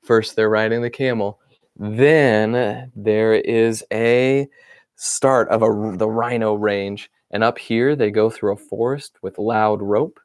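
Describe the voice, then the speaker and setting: A man's voice: fairly low pitch, fairly even intonation, slow, darkish tone, no room sound, clean studio sound. A male teacher reading a children's picture book aloud and pointing out details in the illustrations for young listeners.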